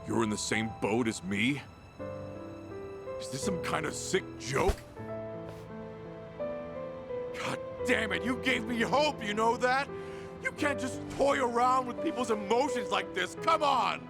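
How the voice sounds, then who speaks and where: A man's voice: high voice, lively intonation, measured pace, vocal fry, music in the background, heavily acted. A man voicing a desperate, emotional down-and-out ex-yakuza family man in a video game drama. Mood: despairing, then anguished anger. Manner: pleading, emotional.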